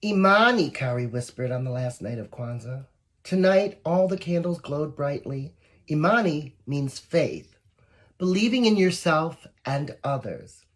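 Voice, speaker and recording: A woman with a fairly low, husky voice reads a children's story aloud. Her pitch moves around a lot as she encourages young listeners and adds little asides. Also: lively intonation, measured pace. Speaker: volunteer story reader. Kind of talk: read-aloud picture book for children, with asides.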